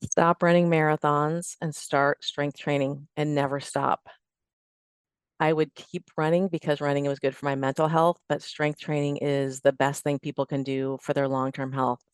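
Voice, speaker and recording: A woman's voice: low-pitched, level tone, normal speed, clear, resonant tone, dry recording, studio quality. A middle-aged woman chatting as a podcast guest, giving emphatic, plain-spoken fitness advice and building her points in lists. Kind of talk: casual interview chat giving advice.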